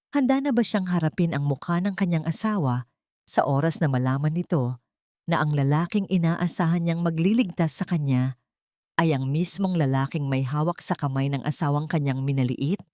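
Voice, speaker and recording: A woman narrating a scripted dramatic love story in a measured, emotive way. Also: lowish voice, a little mellow, no room sound, studio quality.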